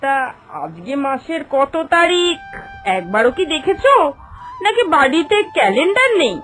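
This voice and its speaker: A sarcastic older cartoon landlady, acted by a woman, needling and nagging about what she is owed. Her voice is high and swings widely in pitch, over background music. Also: very animated, moderate pace, fairly bright tone. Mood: sarcastic, reproachful. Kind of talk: cartoon voice acting.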